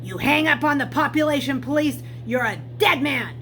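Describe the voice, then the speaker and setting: A woman voicing an angry, bullying policeman in a storybook, threatening and growling, with forceful delivery. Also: high-pitched, expressive, moderate pace, a little hiss or hum, pitched above the performer's own voice. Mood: furious, threatening. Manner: bullying, yelling. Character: angry, threatening man, a brutal police enforcer.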